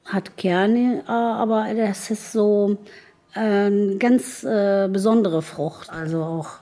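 A woman speaks in a dark, relaxed tone. She goes very slowly, pausing to search for words as she reflects. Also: mid-range voice. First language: Arabic. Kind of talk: unscripted reflective talk.

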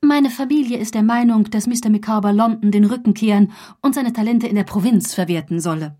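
An actress playing the genteel, devoted wife of a bankrupt gentleman in a radio drama, formal, dignified and sentimental. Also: fairly high pitch, a little fast, lightly acted.

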